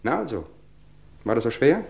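An actor playing a smug, cultivated murderer who taunts police with measured, theatrical politeness in an old German radio crime drama. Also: male voice, medium pitch, sing-song, vocal fry, a little mellow. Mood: smug, mocking.

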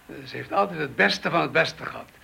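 A man playing a haughty surgeon with a gravelly voice. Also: a little high, animated, brisk, bright, forceful tone, full character voice. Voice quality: haughty, scornful. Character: arrogant middle-aged male surgeon, dismissive father. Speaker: surgeon questioned by police. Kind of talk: radio play acting.

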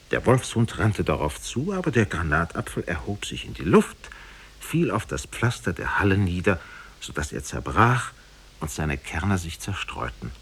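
A man's voice: deep voice, slightly rough. A man narrating a fairy tale in a solemn, dramatic 1950s radio storytelling style.

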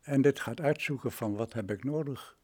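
A man's voice: mid-range voice, moderate pace, darkish tone. A man in his nineties from the Netherlands, reminiscing in an interview as a retired radio drama director, with vivid examples and rhetorical questions. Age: 90s.

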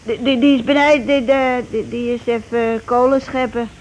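A woman's voice: fairly high pitch, steady tone, moderate pace, darkish tone, lightly acted, low-quality recording. A woman acting an uneasy wife in a radio play. She is hesitant and faltering, often repeating a word before going on. The old recording carries light noise. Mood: nervous, evasive. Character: nervous, hesitant wife, a bereaved mother and accomplice.

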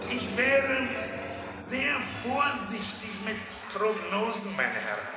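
A man's voice: high voice, wildly swooping pitch, takes it slowly, gravelly, full character voice. A man acting a cautious, solemn conference orator, giving stylized formal oratory in a radio play. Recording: cavernous echoing hall under loud music.

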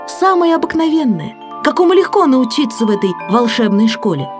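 A woman's voice: sits high, big pitch swings, brisk. Woman narrating a children's story over music.

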